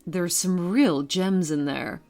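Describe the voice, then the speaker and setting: A woman's voice: on the low side, slow, a little mellow, faint music behind. A woman hosting a storytelling podcast, addressing her listeners directly. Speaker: podcast host.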